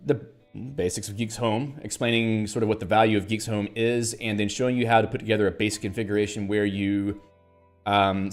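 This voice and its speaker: A man with a lowish voice hosts a live tech stream, chatting casually and reading viewer comments. His talk wanders, full of fillers and restarts. Faint music plays under his voice. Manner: rambling, conversational, many fillers and restarts. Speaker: livestream host.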